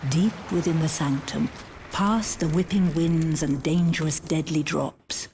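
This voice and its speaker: A woman's voice: low-pitched, unhurried, a little mellow, slight background noise. A woman narrating a fantasy game cutscene in a grave, portentous, dramatic storytelling style.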